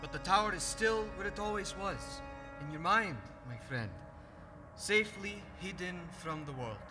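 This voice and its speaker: A man's voice: high-pitched, animated, moderate pace. A man playing a loyal male friend in a staged musical reading, earnest and reassuring. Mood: consoling. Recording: reverberant hall, music behind.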